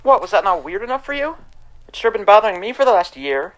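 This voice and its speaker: An actor playing a shaken, wary man who recounts a frightening experience in an audio drama, telling it with dramatic pauses. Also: high-pitched, big pitch swings, a bit bright, rough recording. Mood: irritated. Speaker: interviewee. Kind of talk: audio drama monologue, interview testimony. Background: musical score.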